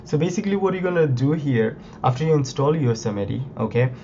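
A man talks through a computer build guide in an easygoing, rambling way, his voice hoarse from a recent illness. His tone is mellow and mid-pitched. The recording sounds a little muffled, with a faint hiss underneath. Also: expressive, fairly quick. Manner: informal, many fillers like "you know" and "like". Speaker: tech video host. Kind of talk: casual tutorial walkthrough. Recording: slightly dull lo-fi with faint noise.

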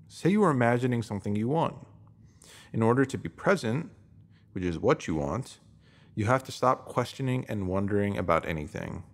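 A man narrating a scripted self-help text in an instructive, measured way, posing emphatic rhetorical questions. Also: on the low side. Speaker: narrator reading a written post.

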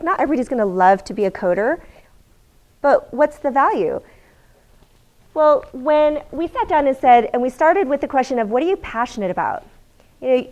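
A middle-aged woman lecturing in a university classroom, her voice loud and pitched a little high. She keeps it conversational, asking the audience questions, and she is picked up by a room mic from a distance. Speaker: guest lecturer.

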